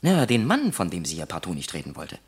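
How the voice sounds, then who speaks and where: An actor playing a detached, lecturing factory psychologist in a radio play, analytical, pedantic and wryly sceptical. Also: male voice, low voice, wildly swooping pitch, fairly quick, breathy edge, full character voice. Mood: wry, advising. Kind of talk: German radio play acting.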